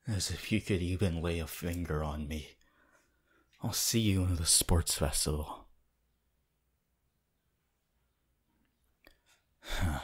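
Man voicing a very husky, brooding young hero. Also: low-pitched. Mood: defiant. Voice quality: husky, breathy, often near a whisper. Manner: slow, terse, deliberate. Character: cold, brooding, proud teenage hero student with ice powers. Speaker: roleplay voice actor. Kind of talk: ASMR audio roleplay.

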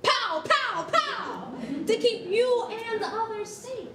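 A woman's voice: extremely high pitch, expressive, takes it slowly, crowd noise, heavily acted. An actress playing a reassuring, upbeat female counsellor in a staged reading of a children's storybook, playful and emphatic. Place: concrete rehearsal hall, standing at music stand. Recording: distant roomy stage recording, faint noise.

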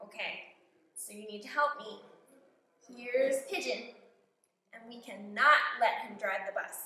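A young woman, a kindergarten teacher, doing an interactive read-aloud with animated, coaxing questions for young listeners. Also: on the high side, measured pace. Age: young adult. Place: small indoor classroom. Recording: very echoey classroom.

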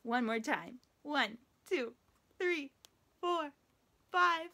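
A young woman with a high, bright voice leads a children's story time. She reads slowly in a sing-song, animated way, swooping up and down and adding playful animal noises. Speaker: children's librarian. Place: small indoor room, wood-panelled.

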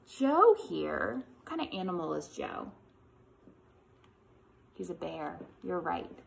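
A woman's voice: fairly high pitch, vocal fry. An American woman in her thirties leading a children's storytime, with an exaggerated, encouraging way of talking to young kids. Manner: animated, encouraging, exaggerated child-directed delivery with questions. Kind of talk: preschool lesson and picture-book read-aloud.

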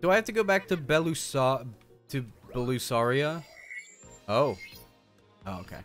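Male game streamer, animated and slangy, thinking out loud, music underneath. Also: medium pitch, moderate pace. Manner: chatty, thinking aloud, slangy. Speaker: streamer, show host. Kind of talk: casual game stream commentary.

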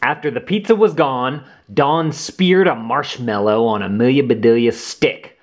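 A man reading a children's storybook aloud as host, in an animated, deliberate way for young listeners. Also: mid-range voice. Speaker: children's book reader and channel host.

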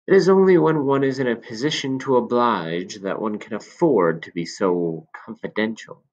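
A man voicing a sly elderly male potion seller who coaxes with smooth, insinuating persuasion. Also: mid-range voice, moderate pace, dull audio, pitched above the performer's own voice, close to an everyday voice.

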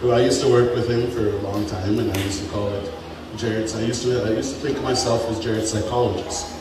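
A man's voice: on the low side, fairly even intonation, quick pace. A man in his thirties giving a jokey, swearing wedding toast into a handheld mic in a big tent, now and then getting choked up. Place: large open-sided wedding tent. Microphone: handheld mic over speakers, filmed by a far phone. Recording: echoey PA sound from far off, noisy.

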